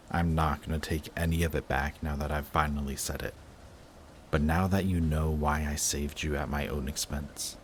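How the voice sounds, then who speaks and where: A man playing a guarded villain in love with a rival, in an intimate audio roleplay with halting, emotional delivery. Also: rumbling low, slight background noise. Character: lovestruck male villain, guarded, flustered, confessing love to rival.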